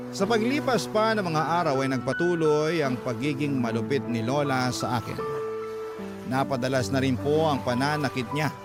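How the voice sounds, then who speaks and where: A man's voice: medium pitch, natural voice. An actor playing a man who recounts a painful, abused boyhood in emotive first-person radio drama narration. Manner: aggrieved, emotive storytelling. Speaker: letter-writer narrator. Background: loud music.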